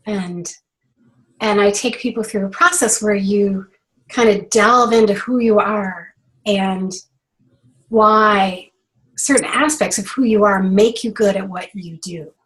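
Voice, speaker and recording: Middle-aged woman, hoarse from a cold, relaxed host on a group call, talks in loose restarts. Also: medium pitch, slow pace, low-quality recording. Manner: chatty, many fillers and restarts. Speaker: branding coach hosting a clinic.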